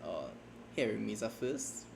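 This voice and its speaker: A man with a lowish voice talks loosely and self-deprecatingly as he streams his writing session, often stopping to restart a thought. Steady typing sounds clearly from his keyboard underneath him. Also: noisy background. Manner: rambling, halting, self-deprecating. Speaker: streamer and fan fiction writer. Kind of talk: casual livestream chat while writing. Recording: keyboard typing audible behind voice.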